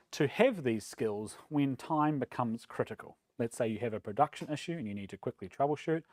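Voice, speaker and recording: A man from New Zealand with a New Zealand accent, giving a relaxed, self-deprecating talk at a developer meetup, with plenty of ums and uhs. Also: mid-range voice, no room sound. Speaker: conference speaker. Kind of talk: casual tech meetup talk. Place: meetup room.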